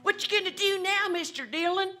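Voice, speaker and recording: A woman's voice: extremely high pitch, brisk, sharp tone, faint music behind. A woman playing a chatty, folksy Old West townsperson in a western radio drama, with colloquial, drawling, gossipy talk. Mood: curious, sympathetic.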